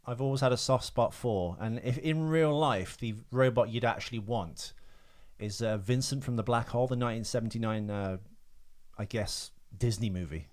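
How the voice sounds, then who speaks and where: A man with a mid-pitched voice, talking as a podcast co-host. He rambles casually through trivia, hesitating and correcting himself as he goes. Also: moderate pace.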